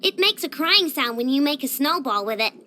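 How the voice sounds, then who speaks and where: Casting: a tiny girl tinkerer for a game, very high and bright, childish, dramatic, bossy. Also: sing-song, a little fast, a little hiss or hum, exaggerated voice. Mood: excited. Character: excitable, sweet-toothed little girl robot inventor. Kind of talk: video game character voice lines.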